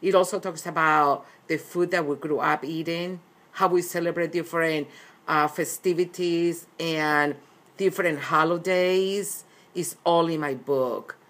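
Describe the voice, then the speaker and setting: A woman's voice: low voice, fairly even intonation, a little slow, a bit bright. A middle-aged woman from Colombia, speaking earnestly and often repeating herself as an interviewed author. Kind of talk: recorded interview.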